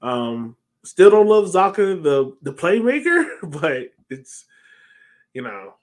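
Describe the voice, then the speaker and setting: A man's voice: a little high, big pitch swings, slow. A man in his thirties co-hosting a casual sports discussion over a video call, speaking from a room at home. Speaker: podcast co-host.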